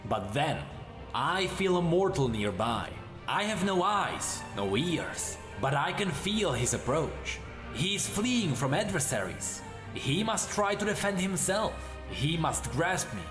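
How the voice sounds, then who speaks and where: Man voicing an anguished warrior spirit trapped in a blade, echoing. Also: on the high side. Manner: haunted, halting, short dramatic phrases.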